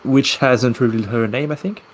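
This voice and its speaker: A man acting as game master, halting and restarting as he guides an online roleplay. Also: mid-range voice, a little hiss or hum. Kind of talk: running an online roleplay session.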